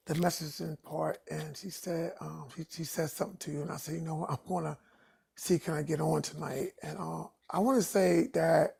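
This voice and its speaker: Raspy-voiced man in his sixties from Harlem. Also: fairly high pitch, a little mellow. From Harlem, New York. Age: 60s. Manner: rambling, earnest, frequent restarts and "you know". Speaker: caller on a live stream. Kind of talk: personal story told on a call-in stream.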